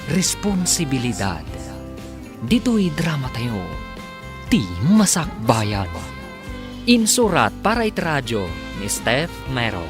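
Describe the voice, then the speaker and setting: A man with an Ilocano accent announcing a radio drama in dramatic scripted narration. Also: mid-range voice.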